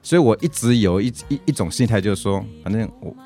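Low-voiced Taiwanese man talking quickly over music. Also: expressive, mellow. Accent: Taiwanese Mandarin. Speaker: guest, social activist. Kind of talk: casual interview talk. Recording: music playing behind the voice.

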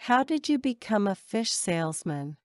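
A woman reading short English phrases for a language lesson, saying each one slowly and carefully twice. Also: mid-range voice, sing-song, darkish tone, dry recording, studio quality. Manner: measured, careful enunciation. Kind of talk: slow repeated drill phrases.

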